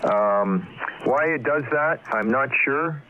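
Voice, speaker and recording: A man working as an amateur radio operator, talking unscripted and haltingly, searching for words over a shortwave radio contact. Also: medium pitch, slight background noise. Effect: heard over shortwave radio. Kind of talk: unscripted ham radio conversation. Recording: narrow tinny amateur radio transmission.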